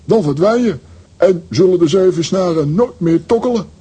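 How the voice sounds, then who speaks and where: A man voicing a vain, gullible, blustering comic hero in a children's audio drama, husky and boastful. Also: sits high, lively intonation, moderate pace, dark tone. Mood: smug, wary. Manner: boastful, indignant.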